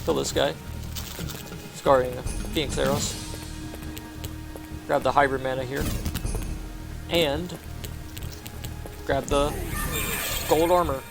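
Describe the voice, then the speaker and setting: A man's voice: medium pitch, steady tone, slow, gravelly, pauses often, low-quality recording. A man giving a casual game walkthrough, narrating his own actions offhandedly in short phrases. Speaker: gameplay commentator. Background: game music.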